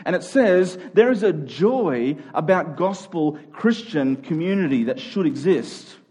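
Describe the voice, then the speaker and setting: A man's voice: fairly high pitch, lively intonation, a little slow, a little mellow, breathy edge. A man speaking as a pastor, giving an earnest sermon to a congregation in a conversational, informal way.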